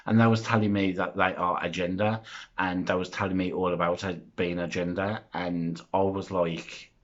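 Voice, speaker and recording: A man's voice: deep voice, flat delivery, moderate pace, highs cut off. A man in his thirties talking to his viewers in a rambling, self-deprecating, chatty way, with lots of fillers. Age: 30s. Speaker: YouTuber. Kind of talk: casual vlog chat.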